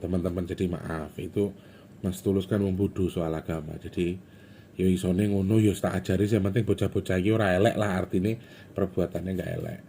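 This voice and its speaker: A man's voice: low-pitched, warm tone, slight background noise. A man, talking to his viewers in a loose, rambling, chatty way and slipping into phrases. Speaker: spiritual content vlogger. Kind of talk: casual rambling monologue to viewers.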